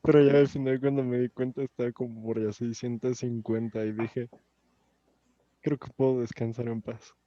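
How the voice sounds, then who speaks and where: Mellow young man student. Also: medium pitch, flat delivery, normal speed, dry recording. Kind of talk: casual class chat. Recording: dry, dull lo-fi audio.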